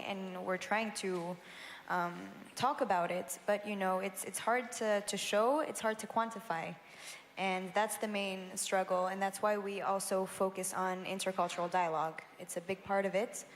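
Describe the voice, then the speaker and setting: A young woman from Brussels, a law student speaking on a panel, measured and with frequent filler sounds. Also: mid-range voice, slight background noise. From Brussels, Belgium. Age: young adult. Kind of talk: panel talk. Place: large conference hall. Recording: reverberant conference hall, faint ambience.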